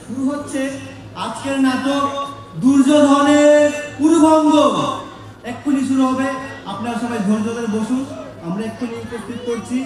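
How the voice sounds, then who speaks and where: A man's voice: extremely high pitch, steady tone, normal speed, darkish tone. A man playing a flustered amateur actor in a comic stage play, theatrical and given to solemn sing-song declaiming. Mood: announcing. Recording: distant echoey hall recording with music.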